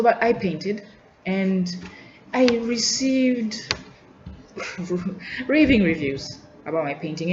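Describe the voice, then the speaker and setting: A woman's voice: medium pitch, darkish tone. A young woman from Tanzania with a Tanzanian English accent, thinking aloud in an unscripted vlog, hesitant and full of restarts and trailing asides. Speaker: student vlogger. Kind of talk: reflective unscripted monologue. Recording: roomy, dull lo-fi sound with faint hiss.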